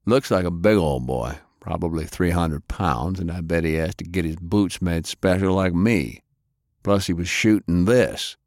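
A man acts out a hulking, plain-spoken war veteran in a pulp audiobook. The voice is very deep and gruff, with terse, folksy delivery. The sound is close and dry. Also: unhurried, darkish tone, no room sound. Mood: laconic, analytical. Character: big gruff plain-spoken country-bred American war veteran detective.

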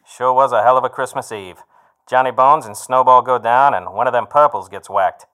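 A man's voice: mid-range voice, normal speed, a bit bright, clean tone, lightly acted. An actor playing a chummy, wry federal agent in a 1930s noir audio drama, with slangy, plainspoken lawman patter. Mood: wry, friendly. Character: gruff, chummy male federal agent, wry and matter-of-fact.

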